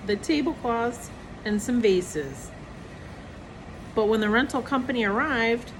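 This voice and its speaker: A woman reads a children's story aloud at a medium pitch. Her delivery is expressive, as if for young listeners. Clear background noise runs behind her voice. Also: low-quality recording. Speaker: storybook reader. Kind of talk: reading a children's picture book aloud.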